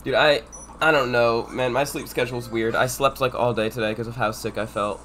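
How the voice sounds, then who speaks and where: A young man with a cold, sniffling and talking in low-key asides while streaming a speedrun from home. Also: fairly even intonation, brisk, fairly bright tone, a little hiss or hum. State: sick, sniffling. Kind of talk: casual live-stream commentary. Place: home room with air conditioner.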